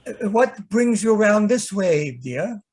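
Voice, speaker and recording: A man's voice: sits high, big pitch swings, unhurried, a little mellow, slightly rough, full character voice. A man plays a fussy, affectionate grumbler of a photographer in a radio mystery. He takes his time over every phrase, arch and genteel, dithering theatrically. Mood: fussy, welcoming. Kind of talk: old-time radio comedy-mystery acting.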